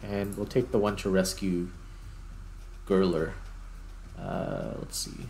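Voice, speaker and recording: Man, gaming host, low-pitched, gravelly fry, casual halting think-aloud commentary. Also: steady tone, darkish tone, a little hiss or hum. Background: music.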